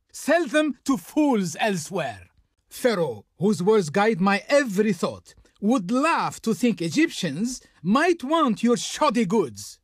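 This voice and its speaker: An actor playing a pompous, scornful envoy of the Pharaoh in a video game, imperious and insulting in a theatrical delivery. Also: male voice, high voice, no room sound, studio quality, heavily acted. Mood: disdainful. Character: haughty, scornful male Egyptian envoy of the Pharaoh. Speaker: diplomat character in a strategy game. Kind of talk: theatrical scripted game voice lines.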